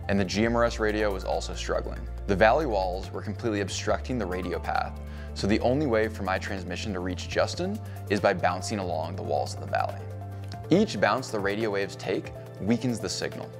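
A man in his thirties giving a measured, scripted explanation indoors in a cabin-style studio. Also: lowish voice, lively intonation, music in the background. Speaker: co-founder explaining radio range. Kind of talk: scripted educational narration.

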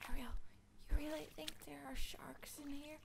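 A woman's voice: high-pitched, level tone, normal speed, vocal fry, darkish tone, slight background noise. A woman voicing a timid, nervous young boy fish in a cartoon fan dub, stammering and easily panicked. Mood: uneasy. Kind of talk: animated film fan dub.

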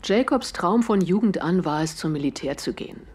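An actress playing an earnest, composed widow in a German audio drama, telling her story deliberately with dramatic pauses. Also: on the low side, lively intonation, a little mellow, husky. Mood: sorrowful, earnest. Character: grieving widow of a murdered man, earnest and composed.

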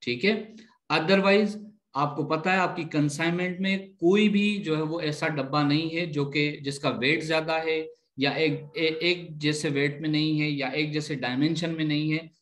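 Man, slightly high pitch, online instructor, step-by-step explaining, repetitive, frequent check-ins. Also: a little slow, fairly bright tone. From Pakistan. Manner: repeats points and checks understanding often.

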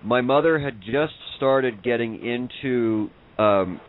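A young man co-hosting an interview podcast, chatting casually and haltingly, repeating words as he goes. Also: medium pitch. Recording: thin phone-like call audio.

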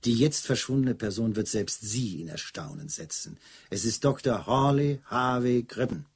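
An actor playing a gruff, sardonic chief police inspector in a German radio crime drama, curt and commanding. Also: male voice, medium pitch, expressive, normal speed, a little hiss or hum. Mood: brisk, demanding. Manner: curt, dryly sarcastic, commanding.

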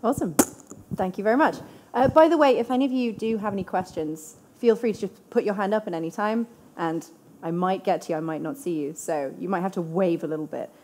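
A woman's voice: mid-range voice, brisk, darkish tone. A woman moderating a live panel on a small stage in front of an audience, chatty with some fillers. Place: small venue stage before a seated audience.

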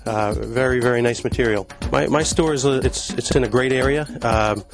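A man's voice: medium pitch, normal speed. Man touring a shop with music behind. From Long Island, New York. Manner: conversational, many fillers and restarts. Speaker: store owner giving a tour. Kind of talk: casual walkthrough tour. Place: indoor retail store. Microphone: handheld mic. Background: music playing in the store.

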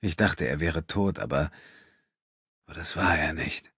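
A haunted, bitter father in an audiobook, acted out by a male reader. His voice is extremely deep and husky, halting and trembling under guilt and grief. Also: a bit bright, dry recording, studio quality, full character voice. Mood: bitter, self-hating.

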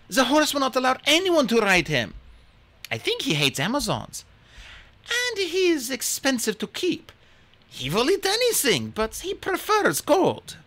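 A woman voicing a proud, calculating Amazon queen in a storybook reading, speaking in a measured, commanding way. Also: sits high, fairly bright tone, voice pushed up, full character voice. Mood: boastful.

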